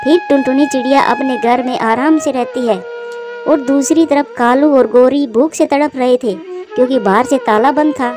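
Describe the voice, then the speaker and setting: A woman's voice: sits high, normal speed, over music. A woman reading scripted narration for a children's moral story.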